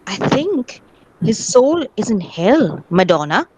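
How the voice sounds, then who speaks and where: A woman's voice: medium pitch, sing-song, takes it slowly, a little mellow, slightly rough, no room sound, exaggerated voice, rough recording. A woman playing a witty, teasing male court fool in a dramatic reading of Shakespearean comedy, with a mock-solemn, theatrical manner. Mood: mocking, triumphant.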